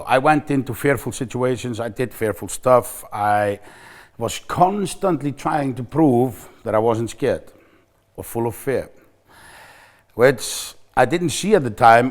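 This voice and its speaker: A middle-aged man with an Icelandic accent talks straight to the camera for a recovery center. His voice sits at an ordinary middle pitch. He sounds candid and reflective, circling back and restarting his sentences as he searches for words. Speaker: recovery center staff member. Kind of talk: personal talk to camera.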